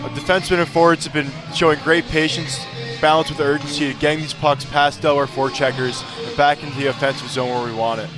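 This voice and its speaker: Fast-talking man analyzing hockey over loud music. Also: fairly high pitch, flat delivery. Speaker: color commentator. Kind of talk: live ice hockey game analysis. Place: ice hockey rink.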